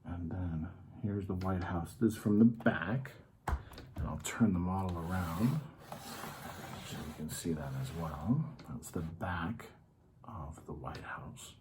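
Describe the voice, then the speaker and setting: A man's voice: low-pitched, slow, noisy background. A man hosting a children's story time, patiently explaining things in simple terms in an informal, educational read-aloud style.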